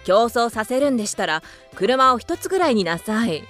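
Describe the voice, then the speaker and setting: A genteel mother in a radio drama, gently scolding. Her voice is fairly high with lively melody, over faint music. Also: normal speed. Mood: gentle scolding.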